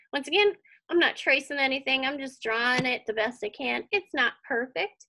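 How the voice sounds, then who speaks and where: Female craft teacher for children; lively swooping intonation with some creaky fry, informal, thinks aloud step by step. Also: high-pitched. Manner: chatty, thinking aloud, reassuring. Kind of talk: casual step-by-step craft tutorial, addressed to children. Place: indoor room at home.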